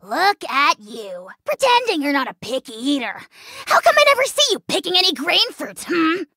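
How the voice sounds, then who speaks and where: Shrill, gravelly little boastful dragon lord. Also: female voice, very animated, moderate pace, bright, forceful tone, no room sound, studio quality, full character voice. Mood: teasing. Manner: pompous, theatrical, taunting. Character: tiny boastful dragon lord, petulant, self-important, menacing. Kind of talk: video game character voice acting.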